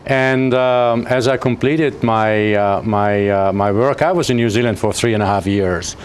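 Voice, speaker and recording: Halting Austrian man, low voice. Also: slight background noise. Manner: halting, many fillers and restarts. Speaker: physics professor, guest. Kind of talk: interview answers.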